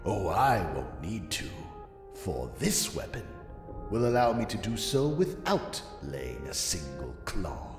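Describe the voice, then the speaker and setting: Male villain warlord for fantasy audio drama: taunting, drawn-out, huge swings of pitch, reverberant with music behind. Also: very animated, heavily acted. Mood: menacing, triumphant. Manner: taunting, theatrical, drawn-out delivery. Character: gloating male villain warlord with clawed hands. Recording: echoey long reverb, music underneath.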